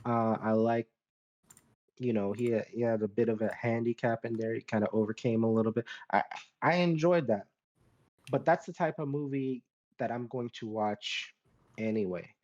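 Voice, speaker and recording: A man's voice: medium pitch, moderate pace, a little mellow. A man chatting casually as a podcast panelist, giving opinions in a rambling way and qualifying his points as he goes. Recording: dull lo-fi mic.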